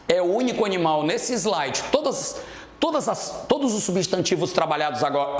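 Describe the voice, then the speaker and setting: Man, Brazilian accent, high-pitched, didactic language teacher, grammar lesson delivery, echoey room recording. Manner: didactic, checks understanding often. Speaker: English teacher. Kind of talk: scripted-feeling grammar lesson to students. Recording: roomy, echoing room sound.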